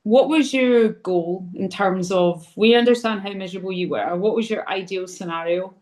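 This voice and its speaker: A woman coach interviews her client on a video call, with a mid-pitched voice. She asks steady questions and answers often with short, affirming replies. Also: normal speed. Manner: affirming, brief acknowledgements. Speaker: sober coach and host.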